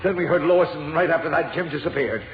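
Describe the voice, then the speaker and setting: Radio drama: male actor as desperate, agitated older American newsman, highish voice, muffled roomy vintage recording. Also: quick pace, close to an everyday voice. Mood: distraught, pleading. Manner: stammering, agitated. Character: frightened, flustered older newspaperman, trembling and desperate. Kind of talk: 1940s radio acting.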